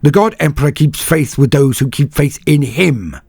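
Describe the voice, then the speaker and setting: A man voicing a loud, resolute woman officer. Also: fairly high pitch, expressive, normal speed, breathy edge, no room sound, studio quality, faint music behind, voice pushed up. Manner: urgent, shouting over battle. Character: determined female ship's officer, resolute.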